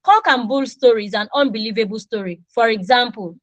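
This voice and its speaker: A woman's voice: on the high side, brisk, no echo. A Nigerian woman in her thirties, a native Yoruba speaker, teaching an online English class and playfully acting out example dialogues. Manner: animated, acts out little dialogues with students.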